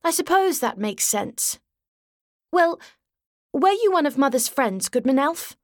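Woman voicing a pert, high-voiced little girl. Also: big pitch swings, unhurried, dry recording, clean studio sound. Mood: considering, curious. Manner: matter-of-fact, sniffy, prim. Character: pert, matter-of-fact, imaginative ten-year-old girl.

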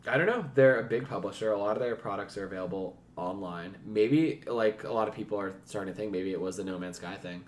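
A man's voice: fairly low pitch, quick pace, hardly any pauses. Casual male podcast co-host, noisy recording. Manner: informal, swears casually. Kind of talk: casual chat and reading out gaming news.

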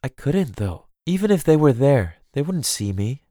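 A man's voice: medium pitch, sing-song, moderate pace, warm tone, no echo, clean studio sound, voice pushed up. A man voicing an earnest, lonely, dutiful young noblewoman in an audiobook, speaking in a plaintive way. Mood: lonely, resigned. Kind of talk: audiobook character dialogue.

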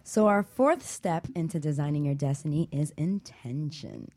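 A woman's voice: low voice, expressive, normal speed, dark tone. A woman co-hosting a radio talk show, asking her guests questions and stopping to rephrase them. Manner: asks questions, stops to rephrase.

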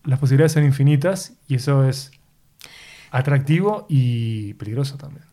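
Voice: medium pitch, normal speed, dark tone. Encouraging interviewer. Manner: engaged, encouraging, frequent short backchannels. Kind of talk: casual interview conversation.

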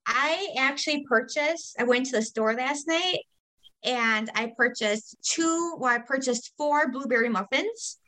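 A woman's voice: sits high, a little slow, sharp tone, low-quality recording. A young adult woman co-hosting a podcast in casual, upbeat, jokey chat. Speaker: podcast co-host.

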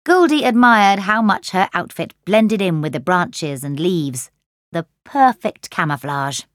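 Children's audiobook narrator: woman, fairly low register, expressive, close and dry studio sound. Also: measured pace, no room sound. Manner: expressive storytelling. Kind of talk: scripted children's story narration. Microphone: close studio microphone.

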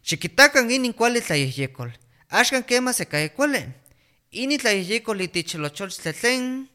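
A woman's voice: fairly high pitch, very animated, unhurried, pushed and bright, exaggerated voice. A woman playing a helpful, instructive doctor in an educational radio drama, with a Mexican accent. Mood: explaining. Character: helpful female doctor leading a village health talk. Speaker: health radio host.

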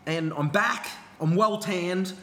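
A man's voice: sits high, takes it slowly, a bit bright, a little hiss or hum. An Australian man co-hosting a sports podcast with casual, chatty banter. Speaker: podcast co-host.

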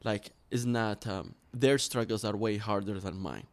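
A man's voice: fairly low pitch, unhurried. Earnest man telling his story. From Iraq. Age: 30s. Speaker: interview guest. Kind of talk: personal storytelling in an interview.

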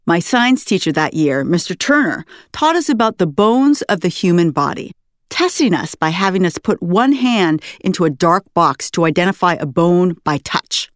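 American woman narrating memoir, lively intonation. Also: lowish voice, normal speed, dry recording, studio quality. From United States, West Coast. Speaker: author reading her own audiobook.

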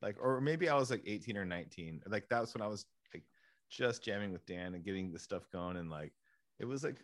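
A man in his late thirties with a low voice, recorded dry and close. He talks with enthusiasm and scatters "like" and "man" all through his sentences. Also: expressive, a little fast, dry recording. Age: 30s. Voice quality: enthusiastic, says "like" and "man" a lot. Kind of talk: casual chat.

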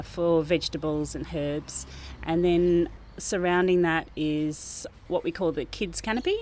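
A woman who works as a garden teacher, explaining thoughtfully and earnestly in an informal interview outdoors. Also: low-pitched. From New Zealand. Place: outdoors, under a gazebo in a school garden.

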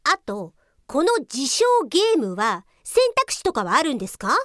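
A woman playing an exasperated, sharp-tongued office police officer in a comedy radio drama, with blunt scolding retorts. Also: sits high, sing-song, normal speed, no echo, clean studio sound. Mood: interrogating.

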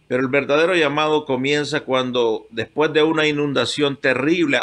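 An older man from Honduras, a pastor, telling his calling in a heartfelt, halting way, moved by the memories. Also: mid-range voice, fairly bright tone. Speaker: pastor guest. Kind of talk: emotional personal testimony.

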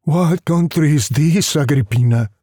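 A man playing a weary, bewildered husband and father in a story, questioning his wife in a husky, tired voice. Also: fairly high pitch, unhurried, warm tone, no room sound, studio quality, voice pushed up. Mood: bewildered, urgent.

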